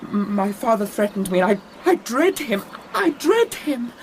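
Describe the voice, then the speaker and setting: A woman's voice: fairly high pitch, sing-song, moderate pace, darkish tone, slight background noise. A performer playing a sickly, cowardly young man who stammers and pleads in fear. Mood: pleading. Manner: stammering, whining, fearful. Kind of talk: radio drama acting.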